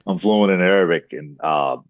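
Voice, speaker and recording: Deep-voiced man from the UAE, hesitant. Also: normal speed, no echo, lo-fi sound. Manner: modest, hesitant, many uh fillers. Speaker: guest. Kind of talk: casual interview talk.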